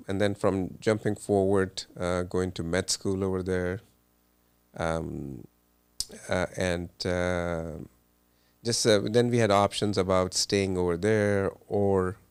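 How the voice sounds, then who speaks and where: Interview guest: man from Pakistan, middle-aged, very deep gravelly voice, dry close sound, hesitant delivery. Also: dry recording. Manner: hesitant, many ums and uhs. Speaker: cardiologist guest. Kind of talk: relaxed interview answers.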